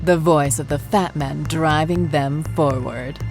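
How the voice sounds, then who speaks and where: Woman reading a horror story, slow and deliberate, low voice, bit of music behind. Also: creaky. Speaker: narrator. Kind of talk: scripted horror story narration.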